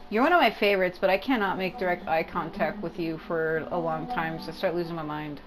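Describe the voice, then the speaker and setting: A woman giving casual, expressive, exclamatory gameplay commentary with plenty of swearing. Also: on the low side, brisk. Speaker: gaming streamer. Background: game music.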